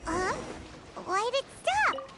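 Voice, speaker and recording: A woman voicing a small, excitable, childlike fairy girl in an anime video game, bossy, naive and chirpy. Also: very high-pitched, very slow, full character voice. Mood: puzzled. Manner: exclamatory, chirpy, refers to herself in third person. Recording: loud game music behind the voice.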